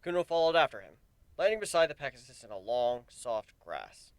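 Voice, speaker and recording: A man's voice: medium pitch, sing-song, fairly quick, clean tone, no echo. A man reading a piece of fan fiction as a dramatic, scripted story narration.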